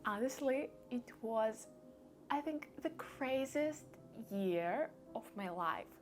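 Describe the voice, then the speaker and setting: Young woman, Russian accent, unhurried halting delivery, casual vlog storytelling, clear music underneath. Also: on the high side, lively intonation, a little mellow. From Belarus. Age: young adult. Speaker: vlogger. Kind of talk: casual personal storytelling to camera. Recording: music behind the voice.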